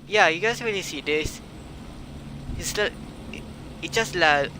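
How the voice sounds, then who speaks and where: Halting male game streamer, very noisy mic. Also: high voice. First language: not English. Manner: halting, stumbling non-native English. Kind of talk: casual live gameplay commentary. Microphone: uncovered microphone prone to breath pops. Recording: very noisy uncovered mic with breath blasts.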